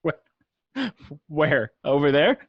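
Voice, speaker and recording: A man playing a young, smooth-talking gangster boyfriend in a read-through of a film script. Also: a little high, slow pace, no room sound, phone-quality sound. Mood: casual, evasive.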